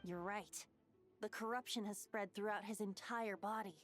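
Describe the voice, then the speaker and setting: A woman's voice: mid-range voice, fairly even intonation, moderate pace, faint music behind, lightly acted. A woman playing a youthful, breezy yet thoughtful boy bard in a video game. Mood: grave, thoughtful.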